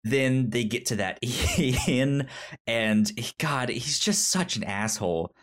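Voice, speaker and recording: A man hosting a podcast in a casual film review chat, with a halting delivery that often repeats and trips over words. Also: medium pitch, expressive, fairly bright tone. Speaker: podcast host.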